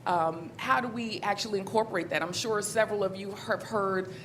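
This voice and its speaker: A woman speaks on a conference panel as a city government official, at an average pitch for a woman. Her delivery is measured and businesslike. She pauses on frequent ums as she walks through programs and partnerships. Soft background noise runs beneath her voice.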